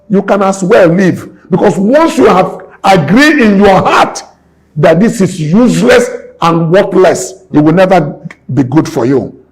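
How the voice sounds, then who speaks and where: A man's voice: high-pitched, breathy edge, low-quality recording. A man in his sixties, a businessman guest in an interview, talking emphatically and repeating his points like a preacher. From Nigeria. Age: 60s. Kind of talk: impassioned interview talk.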